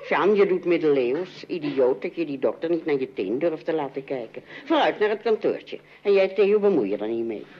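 A brisk, practical mother of middle age for a radio comedy. She is breezy and bossy, with pitch that moves around a lot. The old recording is muffled. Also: animated, a little hiss or hum. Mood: scolding. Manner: no-nonsense, breezy.

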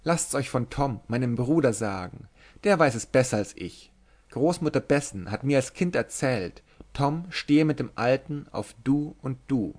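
Brief: a bold young woman at a tavern table, teasing, singing her lines, as a male reader puts her on, higher than his own voice. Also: lively intonation, a little slow. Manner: bright, bold, half-singing. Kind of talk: audiobook reading.

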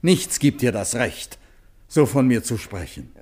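An earnest gentleman in a classic radio drama. He speaks with deliberate, measured diction, as someone living through a troubled love affair. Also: mid-range voice, very animated, husky. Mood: reproachful, pained. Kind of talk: German radio play acting.

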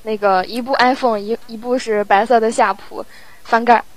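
A woman's voice: fairly high pitch, fairly even intonation, normal speed. A woman chatting casually, stammering a little and repeating words as she goes. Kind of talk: casual chat. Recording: noisy home mic with clicks.

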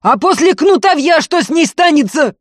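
A narrator playing a hot-tempered, rough young peasant man in a dramatic audiobook. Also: mid-range voice, moderate pace, dry recording, clean studio sound, close to an everyday voice. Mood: furious. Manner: yells and hisses through gritted teeth.

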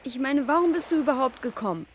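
Teenage girl, high voice, dreamy but accusing daughter, radio play, faint ambience noise. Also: animated, fast. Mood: reproachful. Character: reproachful, dreamy teenage daughter, no longer a child. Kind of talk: radio drama acting.

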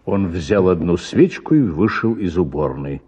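Man, radio story narrator, slow measured delivery, dark soft timbre, light background noise. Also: sits low, expressive, lo-fi sound. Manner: measured, deliberate phrasing.

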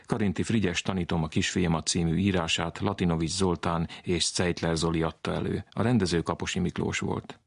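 A man's voice: deep voice, flat delivery, creaky, few pauses, breathy edge, dry recording, studio quality. A man reading a scripted introduction as a radio announcer.

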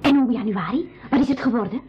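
A woman's voice: a little high, quick pace, dull audio, a little hiss or hum, lightly acted. An actress playing an eager young girl on a journey in a school radio drama. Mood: eager, questioning. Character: eager young girl driving the travellers' vehicle. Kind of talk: 1980s Dutch-language school radio drama acting.

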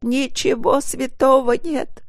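A woman's voice: mid-range voice, normal speed, gravelly, no echo, voice pushed up, natural voice. A woman voicing a furious, tearful middle-aged wife in an audiobook, wailing and exclaiming her accusations. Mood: wailing despair.

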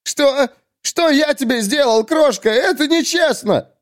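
A shrill, high-pitched male poet on the rack. He wails with melodramatic self-pity and bitter indignation, his voice straining far above a normal speaking register. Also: expressive, unhurried. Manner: shrill, melodramatic wailing and complaining. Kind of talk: audiobook character acting.

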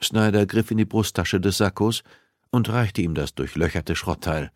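A man's voice: deep voice, normal speed, no echo, clean studio sound. A man reading a thriller novel aloud as scripted audiobook narration.

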